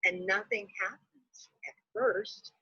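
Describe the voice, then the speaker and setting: A woman's voice: mid-range voice, fairly even intonation, slow pace, sharp tone, breathy edge. A woman librarian reading a children's storybook aloud expressively, on dull lo-fi audio.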